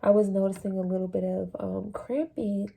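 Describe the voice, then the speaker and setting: A young adult woman talking casually about her experience in a personal vlog, relaxed and chatty with plenty of fillers. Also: mid-range voice, moderate pace, gravelly, slightly rough. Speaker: vlogger sharing a fasting journey.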